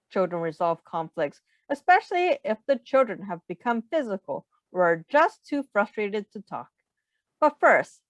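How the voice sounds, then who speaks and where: Expressive woman reading aloud, lively pitch. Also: no room sound, highs cut off. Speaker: teacher reading a picture book aloud. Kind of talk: read-aloud narration.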